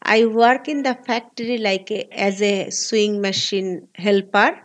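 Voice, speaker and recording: A woman in her forties from Bangladesh with a Bangladeshi accent, speaking earnest, halting English as an interview guest. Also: mid-range voice, steady tone. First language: Bengali. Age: 40s. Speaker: guest, labor activist.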